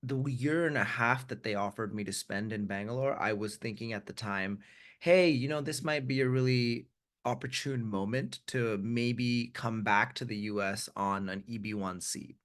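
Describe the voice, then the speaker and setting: A man's voice: mid-range voice, normal speed, a bit bright. A man in his thirties, from India with an American accent, speaking as a webinar guest over a video call, telling his story candidly and reflectively with frequent restarts. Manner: frank, reflective, frequent restarts and repeated words.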